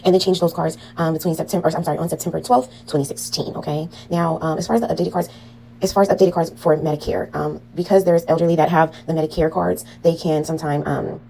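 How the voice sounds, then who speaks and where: A woman lectures through an online billing class in a quick, chatty way, checking in with her listeners as she goes. Her voice sits low, with a gravelly fry and a mellow tone. A faint hiss runs underneath the recording. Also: steady tone, poor audio. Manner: chatty, frequent "um" and "okay?" check-ins. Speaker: medical billing instructor.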